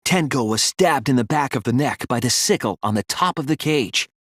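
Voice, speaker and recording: A man voicing an earnest, soft-spoken teenage boy detective in a video game, reasoning things out deliberately with a slightly breathy voice. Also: mid-range voice, lively intonation, no echo, studio quality. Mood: focused, explanatory. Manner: deliberate, earnest, analytical explaining with dramatic emphasis. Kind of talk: English video game voice acting, deductive monologue.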